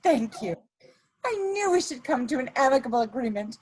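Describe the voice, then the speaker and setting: A woman playing an elegant, ruthless blackmailer in a dramatic reading of a period play, speaking in a deliberate, imperious way. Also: high-pitched, big pitch swings, darkish tone, husky, exaggerated voice, poor audio. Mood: smug, gracious. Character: elegant scheming female blackmailer, coolly ruthless society lady.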